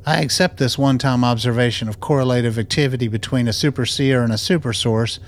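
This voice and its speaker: A man's voice: medium pitch, fast, natural voice. An actor playing a stubborn, skeptical male scientist in an audio drama, with clipped, formal, questioning speech. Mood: wary, skeptical. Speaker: voice actor in a radio play.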